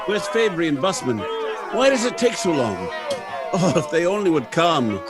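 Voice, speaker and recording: A man's voice: a little high, sing-song, moderate pace, loud music behind the voice, a loud crowd. A man playing an urgent, commanding man in charge of a factory, declaiming in 1930s radio drama style.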